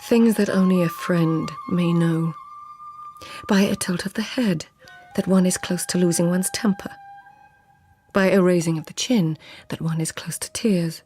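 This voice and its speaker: An actress playing an anxious, deferential court lady in a radio drama, speaking formally and earnestly, sometimes hushed. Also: on the low side, measured pace, soft background music, lightly acted. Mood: reflective, intimate.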